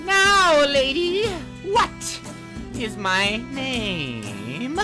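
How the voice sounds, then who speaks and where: A man voicing a gleeful, spiteful little imp from a fairy tale, with a theatrical, gloating, drawn-out delivery. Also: very high voice, big pitch swings, over music, pitched above the performer's own voice, full character voice. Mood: gloating, taunting.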